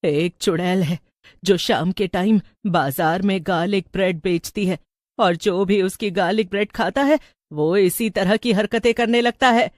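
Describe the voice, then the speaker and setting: A woman playing a wise older village woman, measured and cautioning, in an animated story. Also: medium pitch, no room sound, studio quality, natural voice. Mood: informing. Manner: measured, advising. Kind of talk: animated Hindi story acting.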